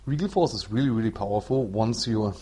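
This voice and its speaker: A man from Germany with a German accent, hosting a solo podcast in an explanatory, somewhat halting non-native way. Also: lowish voice, dark tone, slightly rough. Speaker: podcast host. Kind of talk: explanatory solo podcast talk about card game strategy.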